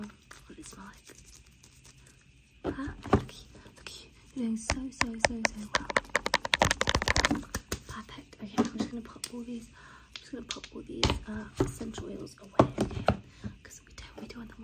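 A woman with a fairly high, breathy voice whispers through an ASMR clinical roleplay. Her manner is gentle and reassuring. She often echoes her own short phrases, keeping everything soft and hushed. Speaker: ASMR roleplay creator playing an examiner.

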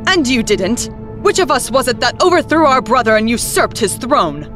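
A woman's voice: on the high side, sing-song, moderate pace, soft background music. A woman playing a defiant, imperious alien usurper who commands a warship in an audio drama. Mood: defiant, haughty.

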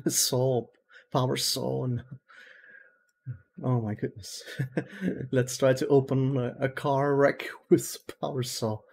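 A man's voice: medium pitch, fairly even intonation, slow, creaky, pauses often, darkish tone, breathy edge. A man in his thirties whose first language is Dutch, chatting casually through a headset while playing a game at home and laughing at his own mistakes. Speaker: gaming streamer. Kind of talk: casual let's-play commentary. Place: small room at home.

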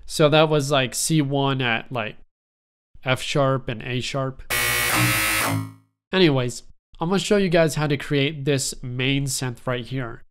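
A man's voice: mid-range voice, clean tone. Stuffy young man explaining synth patches. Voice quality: stuffy, congested nasal tone. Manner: relaxed, rambling explanation with frequent fillers. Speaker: music production tutorial host. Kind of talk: casual screen-recorded software tutorial.

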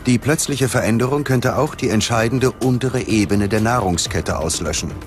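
A man's voice: medium pitch, normal speed. A man reading scripted documentary narration in a dramatic, ominous manner. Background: dramatic music.

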